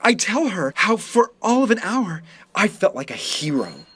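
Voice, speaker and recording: A man reading a first-person story as a wounded, resentful high school student, in scripted narration. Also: very animated, moderate pace, fairly bright tone, breathy edge. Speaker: reader of a short story.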